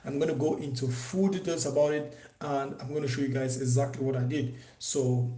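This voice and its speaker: A man from Cameroon teaching an online business course, talking in an animated way with many restarts and fillers. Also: mid-range voice, a little fast, echoey room. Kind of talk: unscripted course presentation.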